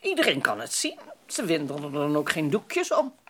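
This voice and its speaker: A woman's voice: low-pitched, huge pitch swings, moderate pace, a bit bright, slight background noise, exaggerated voice. A woman acting a worried, proper middle-aged society mother in a period radio drama, with a genteel, fretful, emphatic manner. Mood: exasperated.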